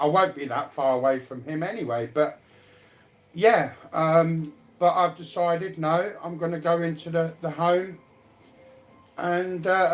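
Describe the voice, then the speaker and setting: A man chatting casually on a livestream panel, talking in a rambling way and repeating himself for emphasis. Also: fairly high pitch.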